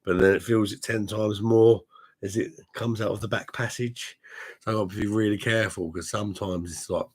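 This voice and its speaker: A man hosting a live stream, chatting with his viewers in a rambling, crude way full of swearing, his voice hoarse because he is ill. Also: lowish voice, steady tone, fairly quick. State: hoarse, unwell. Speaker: livestream host reading chat. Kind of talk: casual crude drinking chat.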